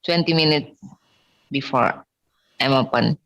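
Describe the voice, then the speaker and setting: A woman's voice: very deep voice, measured pace, fairly bright tone. A woman with an Indonesian accent organising an online event, chatting casually and practically over a video call.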